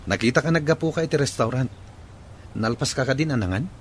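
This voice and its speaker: A man's voice: medium pitch, expressive, fast talker, a little hiss or hum, lightly acted. A Filipino man playing a considerate, friendly young boyfriend in a radio drama. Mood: friendly, concerned. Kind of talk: Ilocano radio drama acting.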